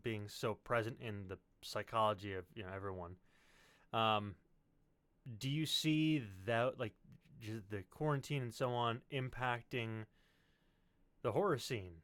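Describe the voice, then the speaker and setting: Clear-voiced man, dry close sound. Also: mid-range voice, unhurried, fairly bright tone, no room sound. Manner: wry, joking. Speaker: podcast co-host. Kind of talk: casual panel chat.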